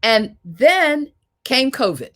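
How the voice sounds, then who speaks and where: A woman's voice: sits high, sing-song, slow, fairly bright tone. Woman moderating a panel discussion.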